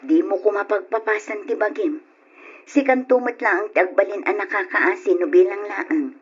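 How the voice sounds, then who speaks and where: Reproachful, pleading woman for old radio drama; breathy-rough voice, emotional, muffled recording. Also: medium pitch, normal speed. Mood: stern scolding.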